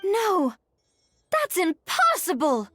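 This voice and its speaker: A woman's voice: very high voice, wildly swooping pitch, slow, pushed and bright, heavily acted. A woman playing a proud, intense young perfectionist chef in a video game, assertive and dramatic. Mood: shocked denial. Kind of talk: video game dialogue acting. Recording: studio dialogue over clear game music.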